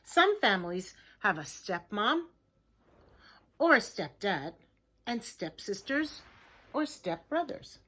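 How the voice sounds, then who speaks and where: A woman reading a children's picture book aloud like a teacher, in a deliberate, expressive way, with hushed chatty asides to her listeners. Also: medium pitch, slightly rough. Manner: slow, expressive, simple deliberate phrasing.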